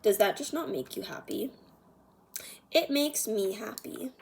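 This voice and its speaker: A woman's voice: fairly high pitch, animated, unhurried, creaky, darkish tone. A woman chatting casually through a makeup tutorial in an informal, meandering, self-deprecating way. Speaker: beauty vlogger.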